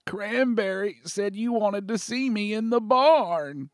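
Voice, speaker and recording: A man voicing a slow, naive, gentle turkey. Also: high voice, no echo, studio quality, pitched above the performer's own voice. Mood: confused. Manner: slow, simple, earnest. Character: naive, gentle, slow-witted, gluttonous young male turkey. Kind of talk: animal storybook character voice.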